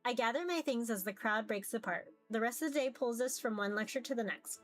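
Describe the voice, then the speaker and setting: A woman's voice: a little high, brisk. Woman streamer narrating over game music. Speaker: streamer playing and reading a visual novel. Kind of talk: read-aloud narration with casual game commentary.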